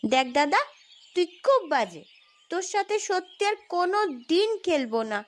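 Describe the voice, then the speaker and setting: A woman's voice: very high-pitched, sing-song, normal speed. A woman voicing a whiny, quarrelsome little sister in a cartoon story. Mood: cross, whiny. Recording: music behind the voice.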